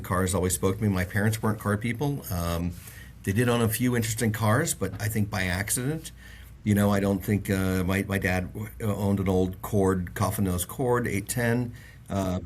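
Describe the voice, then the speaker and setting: A man's voice: on the low side, moderate pace, slight background noise. A middle-aged man from the Bahamas, a guest on an interview show, telling long stories with lots of fillers and restarts. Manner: rambling, many fillers and restarts. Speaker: auto industry guest. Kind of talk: casual interview chat.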